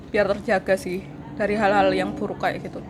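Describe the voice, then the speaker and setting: A woman telling a story in casual chat, animated and laughing often. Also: medium pitch, a little slow. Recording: noisy background.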